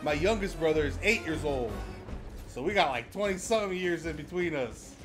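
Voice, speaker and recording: A man's voice: fairly high pitch, animated, fairly quick. A man in his thirties streaming a game from home, chatting casually with viewers and swearing now and then. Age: 30s. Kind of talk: casual gaming livestream chat. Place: home room, indoors. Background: game music and gunfire.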